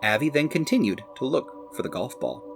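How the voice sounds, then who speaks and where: A man reading to children as a storyteller, expressive, with dramatic emphasis. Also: medium pitch, a little fast, gravelly, soft background music.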